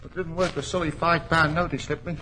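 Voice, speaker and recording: High-pitched cringing English vagabond. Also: male voice, little pitch movement, fast talker, bright, forceful tone, rough recording. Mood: frightened. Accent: working-class English. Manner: stammering, wheedling, evasive. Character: shifty, cringing male vagabond ex-convict. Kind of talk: 1940s radio drama acting. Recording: hissy old 1940s radio recording.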